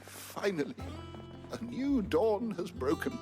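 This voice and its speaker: A man's voice: high-pitched, big pitch swings, takes it slowly, music in the background, heavily acted. An actor playing a bookish, kindly elderly male scholar in a video game, emotional and wordy, with florid, theatrical phrasing. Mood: tearful joy.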